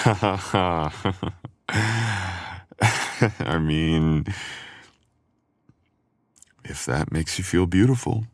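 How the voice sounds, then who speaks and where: Very deep-voiced, teasing boyfriend played by a man. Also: vocal fry, no echo, exaggerated voice. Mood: tender, intimate. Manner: playful, teasing, intimate. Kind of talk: boyfriend ASMR roleplay audio acting.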